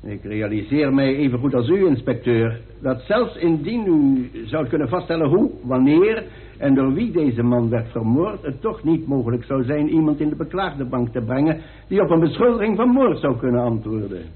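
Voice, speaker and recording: A man playing a formal, dry-witted coroner in a radio drama, speaking in a measured, authoritative way. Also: mid-range voice, big pitch swings, lightly acted. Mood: stern, pointed. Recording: tinny band-limited old radio recording.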